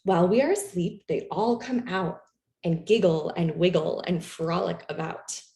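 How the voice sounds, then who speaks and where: A lower-voiced woman reads a children's story aloud with a mellow tone. Her delivery is expressive and animated, made for young listeners, with some creak in the voice. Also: measured pace. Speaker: storytime reader. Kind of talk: expressive read-aloud of a children's picture book. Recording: roomy, echoey room sound.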